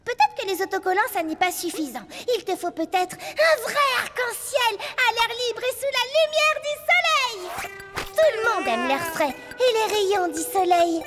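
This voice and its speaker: A woman's voice: extremely high pitch, expressive, normal speed, fairly bright tone, faint music behind. A woman voicing a bubbly, relentlessly friendly young female microbe in a French cartoon dub, gushing and naively pushy. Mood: gushing, insistent. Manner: gushing, exclamatory, over-enthusiastic.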